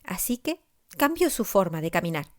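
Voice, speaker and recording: A woman narrating a scripted children's story expressively, with deliberate emphasis. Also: fairly low pitch, sing-song. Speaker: author narrating her own story.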